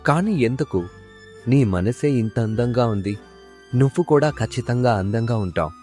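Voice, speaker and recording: A man's voice: medium pitch, lively intonation, normal speed, dark tone, faint music behind, natural voice. A man voicing a smitten, admiring, persistent young man in a cartoon moral story.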